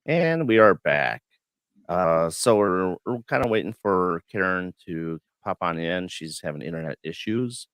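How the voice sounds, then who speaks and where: A man hosting a casual livestream chat, a bit hoarse and froggy from the flu, talking in a relaxed, rambling way with many fillers. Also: low voice, unhurried, no room sound. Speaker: host. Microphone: studio microphone.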